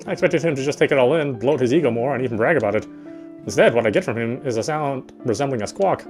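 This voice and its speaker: A man's voice: mid-range voice, lively intonation, fast talker. A man reading a visual novel's narration aloud, now and then stumbling over a phrase and rereading it. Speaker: game player reading aloud. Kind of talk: scripted narration read aloud. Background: soft guitar game music.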